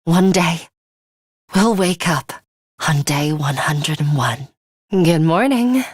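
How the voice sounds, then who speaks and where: A woman voicing a coolly pragmatic, blunt young female fighter in a video game, speaking in a measured, serious, clipped way. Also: deep voice, expressive, husky, dry recording, clean studio sound, exaggerated voice. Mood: earnest, resolute, then calm, clinical. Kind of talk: English video game voice acting.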